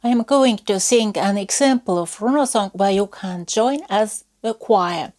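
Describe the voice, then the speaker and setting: A woman folklore researcher speaking English. Her voice sits at a middle pitch. She delivers a carefully paced, scripted explanation, calm and methodical. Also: unhurried. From Estonia. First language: Estonian. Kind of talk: scripted educational talk.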